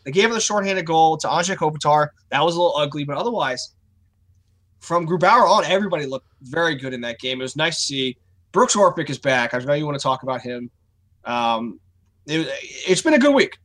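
A male co-host with a slightly raised pitch, talking in an opinionated, casual way. He grows animated when he rants. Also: fairly quick. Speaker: podcast co-host, youth coach. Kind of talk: casual sports podcast chat.

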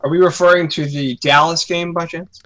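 A male co-host with a slightly raised pitch, talking in an opinionated, casual way. He grows animated when he rants. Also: brisk. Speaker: podcast co-host, youth coach. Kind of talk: casual sports podcast chat.

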